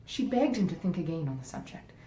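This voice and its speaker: A woman narrates a classic novel live in a slightly echoey room with faint noise. Her tone is soft and mellow, and her expressive reading rises and falls in a lively way. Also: fast talker. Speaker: author reading aloud. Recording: roomy echo, faint rumbling noise.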